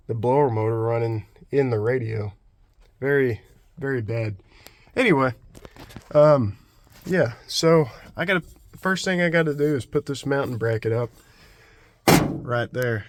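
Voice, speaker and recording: A man's voice: mid-range voice, slight background noise. Casual, rambling man vlogging. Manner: rambling, folksy, colloquial. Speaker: vlogger, DIY truck project host. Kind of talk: casual unscripted chat to camera.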